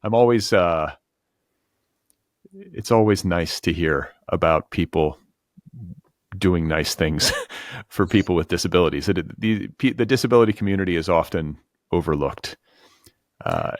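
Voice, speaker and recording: An American man hosting an interview, speaking casually in a measured, reflective way with tentative phrasing. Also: low voice, dry recording, clean studio sound. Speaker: podcast host, interviewer.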